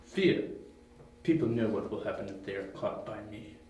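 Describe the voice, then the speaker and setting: A man acting a smug, ruthless crime boss who speaks with deliberate menace in an amateur audio drama. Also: fairly low pitch, lively intonation, a little mellow, natural voice. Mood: menacing. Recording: very echoey, distant, faint noise.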